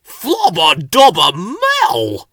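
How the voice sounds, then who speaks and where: A man voicing an excitable little flowerpot man who exclaims in drawn-out babbling gibberish in a children's story. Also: high-pitched, pitched above the performer's own voice, heavily acted. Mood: indignant, straining. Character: Bill and Ben, excitable little flowerpot men babbling nonsense.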